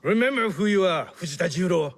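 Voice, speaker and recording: A proud, unyielding shinobi clansman in a dramatic game. His voice is slightly high and moves with animated emphasis. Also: fairly quick, no room sound. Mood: proud, reproving. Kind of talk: dramatic video game acting.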